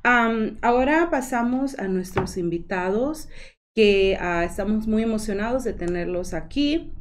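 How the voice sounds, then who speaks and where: A woman hosting a community morning show, chatting casually with her guests and often pausing on fillers. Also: medium pitch, expressive, noisy background. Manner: warm, chatty, frequent fillers like "ah" and "am". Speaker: host. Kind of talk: casual chat show interview.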